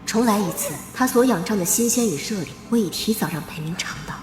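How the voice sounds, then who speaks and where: A woman voicing a coolly calculating, vengeful young noblewoman in a period comic drama, composed, measured and formal. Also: medium pitch, animated, roomy sound, long reverb tail, over music, close to an everyday voice. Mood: cool, resolute.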